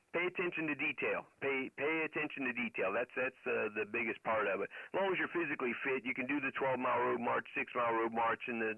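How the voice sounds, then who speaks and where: A man's voice: medium pitch, vocal fry, no room sound. A middle-aged man telling stories casually over ham radio, in a rambling way with military jargon. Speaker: amateur radio operator. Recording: narrow phone-like radio sound.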